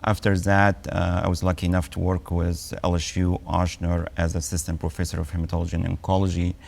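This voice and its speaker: Egyptian guy in his 40s, low flat gravelly voice, lots of ums. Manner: measured, with frequent ums and uhs. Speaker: oncologist guest. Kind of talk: TV health interview.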